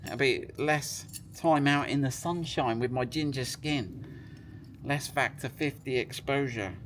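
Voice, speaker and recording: A man's voice: mid-range voice, a little slow, a bit bright. A man giving a casual hands-on product demonstration, chatting and thinking aloud as he works. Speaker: product presenter. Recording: clear background noise.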